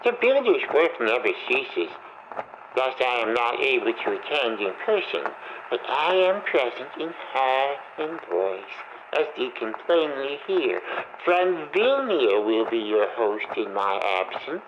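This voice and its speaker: An actor playing a pompous, falsely gracious zealot inventor in a video game, preaching grandly to noble guests in archaic thee-and-thy speech. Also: male voice, mid-range voice, big pitch swings, unhurried, a bit bright. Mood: proud, boastful. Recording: dull, noisy, radio-like playback of a recorded message.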